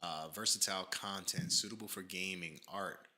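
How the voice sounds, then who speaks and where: A low-voiced man talks through his stream in a loose, rambling way, full of fillers and restarts. His tone is bright and forceful, with a slight nasal edge from allergies. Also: steady tone, moderate pace. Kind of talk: casual livestream commentary, reading from a page.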